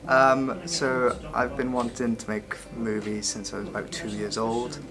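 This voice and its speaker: Young man, hesitant interviewee, rough low voice, music bed. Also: flat delivery, measured pace. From Wales. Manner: hesitant, repeats words. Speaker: film apprentice. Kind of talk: interview answers. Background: background music.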